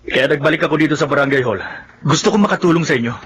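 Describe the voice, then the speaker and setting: Eager, quick, husky young villager. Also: male voice, a little high, fairly bright tone. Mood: eager, pleading. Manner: urgent, pleading. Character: eager, insistent young village man offering help. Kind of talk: horror radio drama acting.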